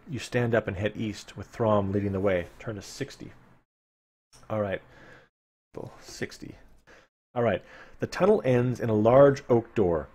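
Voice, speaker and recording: A man's voice: mid-range voice, darkish tone, breathy edge. A man streaming a live read-aloud of a fantasy gamebook, dramatic in the narration and informal in his asides. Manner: playful, informal, dramatic when reading.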